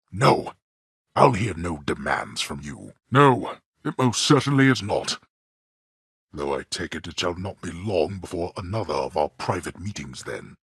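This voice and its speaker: Casting: imperious underworld god for a game, very deep, husky, commanding, cold formal delivery. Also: male voice, a bit bright, dry recording, clean studio sound, full character voice. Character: stern imperious male god of the dead. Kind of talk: video game voice acting.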